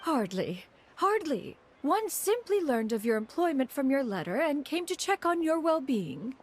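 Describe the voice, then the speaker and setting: Game role: a grand old crane spirit woman, pompous and formal, lively pitch, a touch high. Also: unhurried. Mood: haughty. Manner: formal and pompous, speaks of herself as "one". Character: lofty ancient crane spirit woman, proud. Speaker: game character. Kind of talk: video game voice acting.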